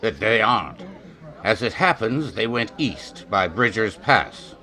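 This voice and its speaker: A man's voice: fairly low pitch, lively intonation, measured pace, crowd noise. A man acting a cold, hard major in a western radio drama, clipped and authoritative. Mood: cold, commanding. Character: cold, hard, commanding major leading a posse.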